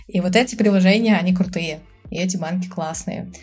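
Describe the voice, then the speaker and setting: A woman in casual conversation as a podcast guest. She speaks chattily, laughs often, fills pauses with hesitation sounds and acts out other people's lines. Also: on the low side, a little mellow, husky, soft background music. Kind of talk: casual chat.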